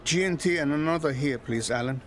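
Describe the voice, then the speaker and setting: A man's voice: fairly high pitch, a little fast, a bit bright, natural voice. An actor playing a reserved, dry-witted man in a naturalistic radio soap. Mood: wary, dry.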